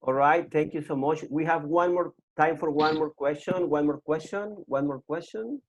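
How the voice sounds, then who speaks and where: Slightly high-voiced man moderating questions. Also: normal speed, muffled recording. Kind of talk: casual moderating.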